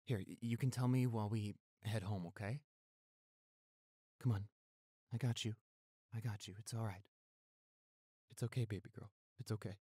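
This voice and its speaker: A man's voice: fairly low pitch, fairly even intonation, normal speed, pauses often, warm tone, husky, dry recording, clean studio sound. A man playing a protective, tender young boyfriend who turns fierce toward bullies, in an intimate audio roleplay. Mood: gentle, reassuring. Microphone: close studio microphone.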